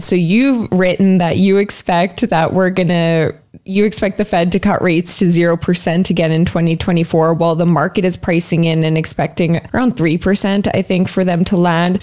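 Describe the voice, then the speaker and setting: Lowish-voiced woman interviewing. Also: a little mellow. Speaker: podcast host, interviewer. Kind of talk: conversational interview questioning.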